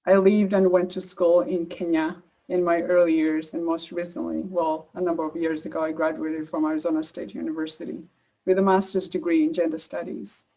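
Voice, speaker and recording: A woman's voice: fairly low pitch, level tone, brisk, dark tone, rough recording. Casting: woman, East African accent, earnest advocate delivering a partly read personal speech. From Uganda. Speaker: advocate speaker sharing a personal story.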